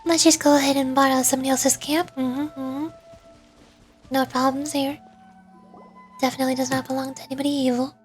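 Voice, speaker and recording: A woman's voice: high voice, little pitch movement, a little fast, long pauses, faint music behind. A woman chatting casually on a game livestream, with playful, rambling asides to herself. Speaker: VTuber game streamer.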